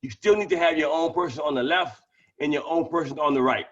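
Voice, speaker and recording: A man with a small lisp and a stutter, talking excitedly and fast as he pitches a business opportunity to people on a group call. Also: dull audio. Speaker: presenter of a network-marketing training call.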